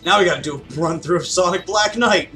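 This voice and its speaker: Gamer guy, higher voice, jokey sweary banter, game music faint in the back. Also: quick pace, bright, forceful tone. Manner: joking, sweary. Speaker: host, gamer. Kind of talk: casual let's play banter.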